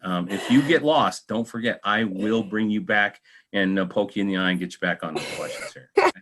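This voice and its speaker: An American man with a fairly low voice works as an interviewer. He talks casually and wanders through his questions with a jokey, informal touch. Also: fairly quick, a bit bright. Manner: rambling, jokey. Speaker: talk show host, interviewer. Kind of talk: casual joking interview chat. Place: remote video call.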